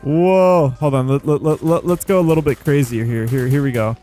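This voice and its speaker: Higher-pitched man doing casual gaming commentary. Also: fairly quick, mellow. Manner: rambling, playful, informal slang. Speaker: gaming video host. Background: background music.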